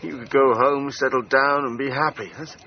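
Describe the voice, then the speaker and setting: An actor playing a proud, moody gentleman adventurer in a radio drama. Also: medium pitch, fairly even intonation, moderate pace, close to an everyday voice. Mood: weary, despairing. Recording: dull sound with faint background noise.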